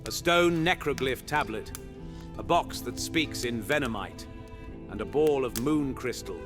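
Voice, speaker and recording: A man's voice: mid-range voice, animated, unhurried, music in the background, natural voice. A man playing a chatty, eager knight who proudly shows off a monster hunters' guild in a fantasy audio roleplay. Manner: chatty, expository, exclaiming.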